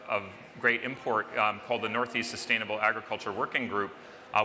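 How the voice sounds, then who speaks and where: A man moderating a conference panel, talking loudly in a chatty, self-deprecating way. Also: fairly low pitch, big-room sound.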